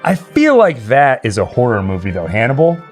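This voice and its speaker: A man's voice: medium pitch, wildly swooping pitch, a little fast. A man hosting a live stream, chatting casually and enthusiastically about films and reading out viewer comments. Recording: music playing behind the voice. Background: music.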